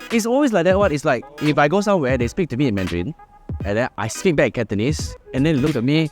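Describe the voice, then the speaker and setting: A man's voice: fairly high pitch, animated, quick pace, a little mellow. A man with a Malaysian English accent tells stories in a loose, joking way, his voice rising and falling a lot. He laughs often and drops in Cantonese and Malay words. Faint music plays behind him. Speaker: guest. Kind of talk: casual chat.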